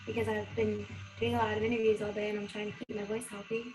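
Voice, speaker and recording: Slightly raspy woman singer, casual interview. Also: medium pitch, flat delivery, quick pace, over music, rough recording. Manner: self-conscious, casual, lots of "like".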